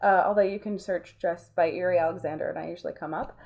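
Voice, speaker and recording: A woman's voice: lowish voice, steady tone, fast talker, vocal fry, dull audio. A woman talking casually as an interview guest, thoughtful and informal, often restarting her sentences. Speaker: interview guest, artist and voice actor.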